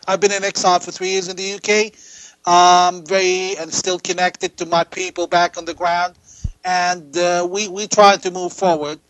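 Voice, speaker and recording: High-voiced man. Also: level tone, moderate pace, fairly bright tone, low-quality recording. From Jordan. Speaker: interview guest, political activist and writer. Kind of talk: interview answers.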